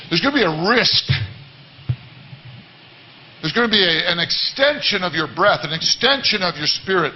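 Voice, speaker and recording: Earnest baritone man teaching a congregation. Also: lo-fi sound, slight background noise. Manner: earnest, repetitive, many restarts. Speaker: worship leader. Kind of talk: sermon-style teaching talk. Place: church service.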